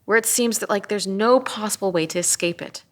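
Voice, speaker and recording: A woman playing an earnest, empathetic police detective at a drama table read, measured and sometimes hesitant. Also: mid-range voice, natural voice. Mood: calm, probing.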